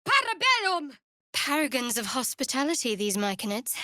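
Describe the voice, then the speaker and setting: A guarded young woman cleric who serves a dark goddess. Her voice sits a little high, with a wry, sardonic edge to the delivery. The recording is very dry, as game dialogue with no room around it. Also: big pitch swings, moderate pace, no room sound, full character voice. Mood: incanting. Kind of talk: video game character voice lines.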